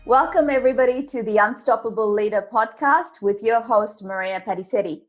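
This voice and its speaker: A woman's voice: fairly high pitch, brisk, faint music behind. Enthusiastic woman hosting a podcast, dull sound. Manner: enthusiastic, full of praise. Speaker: podcast host. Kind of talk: podcast interview.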